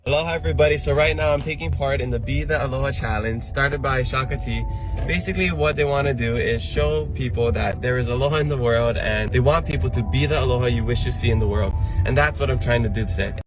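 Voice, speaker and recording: Man, fast, earnest, loud ukulele music behind. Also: medium pitch, poor audio. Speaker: video message speaker.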